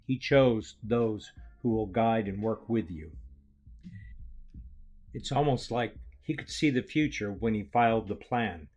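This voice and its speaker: A man playing a grave, kindly elder and aging teacher in an audio drama, speaking in a formal, measured way. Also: lowish voice, darkish tone, close to an everyday voice. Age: older.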